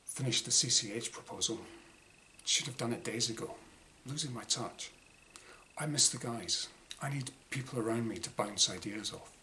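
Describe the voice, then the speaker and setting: A man reads a diary-style short story as its author, in a bright, forceful voice of medium pitch. He delivers it dramatically in clipped phrases with pauses. There is some noise behind him. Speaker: author reading his own short story. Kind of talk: dramatic first-person short story reading, diary entries. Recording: clearly noisy room ambience.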